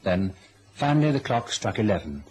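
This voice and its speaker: A man's voice: fairly low pitch, sing-song, normal speed, a little mellow, husky. An actor playing a young, sensitive son cowed by his mother, earnest and anguished in an old radio drama. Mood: regretful. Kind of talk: old-time radio drama acting and confessional narration.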